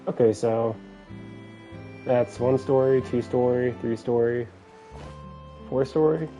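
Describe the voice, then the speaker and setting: A man's voice: mid-range voice, slow pace, mellow. A man giving casual live gameplay commentary, musing aloud in short, slangy bursts. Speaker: game streamer. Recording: game music faintly behind. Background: video game music.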